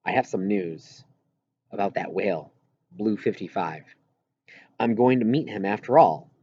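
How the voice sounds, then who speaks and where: Man reading as a hopeful, hesitant kid, husky voice. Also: on the low side, animated, unhurried, dry recording, muffled recording. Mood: hesitant, confiding. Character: young whale-loving kid, hopeful, unsure, determined. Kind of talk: audiobook character dialogue.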